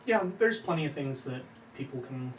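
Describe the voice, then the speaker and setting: A woman narrating as game master in a casual tabletop stream, chatty and quick to laugh. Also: rumbling low, expressive, reverberant, distant mic, a little hiss or hum, poor audio. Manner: laughs often, loose and chatty.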